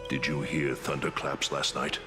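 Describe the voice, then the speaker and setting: An actor playing a gruff, proud warrior lord in a video game, stern, blunt and wary. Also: male voice, rumbling low, little pitch movement, normal speed, bright, forceful tone, faint music behind.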